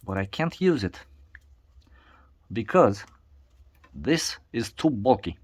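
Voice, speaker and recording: Non-native English man, slow and low-pitched, halting workshop vlog narration, shot on a camera mic. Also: very animated, slightly rough. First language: not English. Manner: halting, many restarts and fillers. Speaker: DIY video maker.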